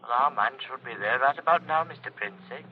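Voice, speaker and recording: An actor playing a calm, courteous police desk sergeant in an official manner, in 1940s radio drama style. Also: male voice, medium pitch, brisk, natural voice. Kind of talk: 1940s radio suspense acting. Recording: scratchy, dull 1940s radio recording.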